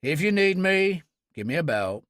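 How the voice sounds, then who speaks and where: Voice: medium pitch, very animated, normal speed, a bit bright, no room sound, studio quality. A narrator voicing an easygoing army sergeant, tired and chummy. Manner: casual and chummy. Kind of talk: audiobook character voice.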